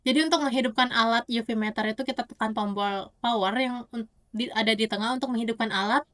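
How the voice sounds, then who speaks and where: A young woman gives an explanatory oral presentation as a student, often halting to correct herself. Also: fairly high pitch, a bit bright. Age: young adult. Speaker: university student.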